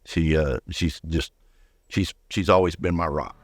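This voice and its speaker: A man's voice: a bass voice, steady tone, measured pace. An older man speaking as an interview guest, telling personal stories casually and often repeating words as he goes. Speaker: guest, retired firefighter.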